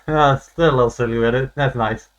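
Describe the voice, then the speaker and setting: A man giving casual live playthrough commentary as a game streamer, excitable and easily amused. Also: medium pitch, brisk, muffled recording.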